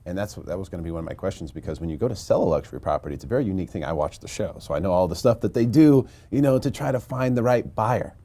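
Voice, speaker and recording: Deep-voiced, gushing male host. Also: expressive, very fast, hardly any pauses, dark tone. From Missouri. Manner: enthusiastic, gushing fan. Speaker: interview host. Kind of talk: excited casual interview.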